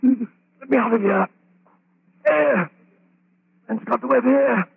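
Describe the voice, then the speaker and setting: Raspy, high-strung old villain, vintage radio. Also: male voice, slow pace, phone-quality sound, full character voice. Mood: desperate panic. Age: older. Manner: melodramatic, theatrical. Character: old murderous villain, gloating, then panicked and pleading. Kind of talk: old-time radio horror acting. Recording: crackly band-limited old radio recording.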